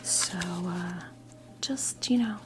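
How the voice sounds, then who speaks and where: An American woman in her fifties whispers in a soothing, halting, conversational way as she role-plays a tarot reading for ASMR. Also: on the low side. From New Jersey, United States. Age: 50s. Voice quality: breathy whisper. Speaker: ASMR creator role-playing a witch tarot reader. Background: soft background music.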